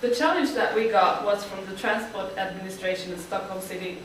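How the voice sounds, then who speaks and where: A woman's voice: mid-range voice, steady tone, brisk, recorded from a distance, a little hiss or hum. Swedish woman presenting in an echoey hall. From Sweden. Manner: speaks with frequent uh fillers, friendly and plain. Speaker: student team presenter. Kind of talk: project presentation to an audience.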